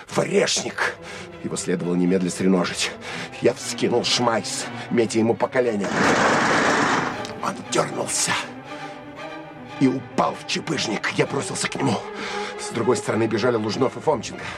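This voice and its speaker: An actor playing a hardened, sardonic counterintelligence officer who tells of an armed pursuit in an intense, expressive first-person audio drama. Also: male voice, fairly low pitch, gravelly. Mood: urgent. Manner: intense, expressive storytelling with wry asides.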